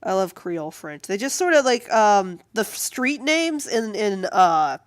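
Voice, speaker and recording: A woman artist chatting casually and with amusement on a livestream while she draws, in a rambling, animated way. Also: mid-range voice, a little slow, no echo, clean studio sound. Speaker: comic artist streaming while drawing.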